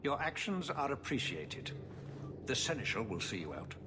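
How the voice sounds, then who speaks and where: An older noble ruler in a fantasy game, played by a man, his middle-pitched voice moving widely in tone. He is formal and reproachful, a disapproving father, with clear ambient noise behind him. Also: very animated, normal speed, lightly acted.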